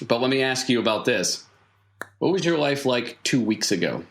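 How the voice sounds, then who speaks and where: A man speaking as game master in an improvised tabletop roleplaying session, with measured, halting phrasing. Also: medium pitch, lively intonation. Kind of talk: improvised tabletop roleplay narration and in-character dialogue.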